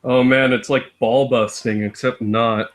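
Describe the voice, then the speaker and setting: A man's voice: medium pitch, fairly even intonation, unhurried. Casual male co-host with a mid-range voice. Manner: brief, jokey reactions. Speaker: live stream co-host. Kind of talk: casual chat.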